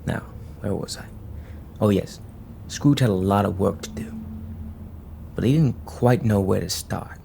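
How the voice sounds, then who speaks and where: A man's voice: on the low side, unhurried, vocal fry, warm tone, a little hiss or hum. A man playing a folksy storyteller who narrates a Christmas tale conversationally in an audio drama. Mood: reflective. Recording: music under the voice.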